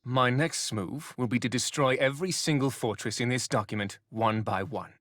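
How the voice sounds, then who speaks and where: A gloating dark lord played by a man for a video game, bent on vengeance. He speaks deliberately and theatrically at a middling pitch, with menace in every phrase. The sound is dry and close. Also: dry recording, lightly acted. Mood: menacing, grandiose.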